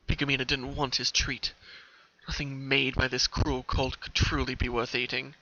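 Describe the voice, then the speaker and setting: A man's voice: medium pitch, flat delivery, normal speed, sharp tone. A man narrating a story as a dramatic reading. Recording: dull, lo-fi home recording.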